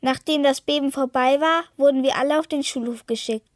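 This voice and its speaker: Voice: sits high, fairly quick, dry recording, studio quality, lightly acted. A female speaker voicing a scared young schoolchild giving scripted radio testimony about an earthquake. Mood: fearful, earnest.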